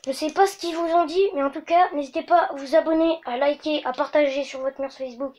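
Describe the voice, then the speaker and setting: A woman with a high-pitched voice hosts a comic web show. She rants to camera in slangy, crude and exaggerated terms. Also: fairly even intonation, brisk, darkish tone. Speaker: host of a comedy web show.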